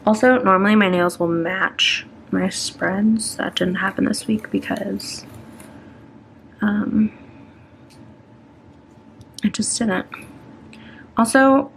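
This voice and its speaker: A woman's voice: medium pitch, slow pace, vocal fry, long pauses, slight background noise, poor audio. A young woman in her twenties chatting while she decorates a planner, rambling and self-conscious, with lots of ums and restarts. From Atlanta, Georgia, US. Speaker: planner vlogger.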